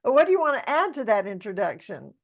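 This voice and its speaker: A woman's voice: on the high side, sing-song, fast talker. A woman hosting a podcast interview, teasing her guest playfully. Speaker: podcast host.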